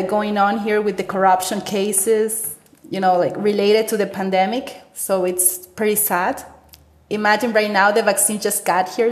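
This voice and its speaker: A woman in her thirties from Ecuador with an Ecuadorian Spanish accent, telling her story in an animated interview full of fillers. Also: mid-range voice. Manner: animated, gestures with stories, frequent fillers. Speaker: interviewee, teacher. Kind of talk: conversational interview. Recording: echoey room.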